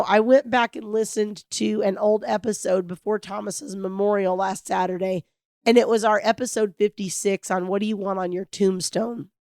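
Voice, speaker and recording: Raspy-voiced middle-aged woman hosting a podcast. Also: mid-range voice, darkish tone, no echo, studio quality. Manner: earnest, reflective, hopeful. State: raspy from a cold. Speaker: podcast host. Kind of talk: heartfelt solo podcast monologue.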